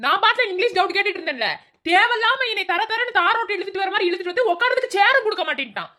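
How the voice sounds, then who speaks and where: A woman's voice: very high voice, expressive, bright, forceful tone, heavily acted. A woman voicing a cheeky male college buddy who mocks his friends in a comedy sketch. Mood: teasing. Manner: teasing and quick-witted. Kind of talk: comic sketch acting.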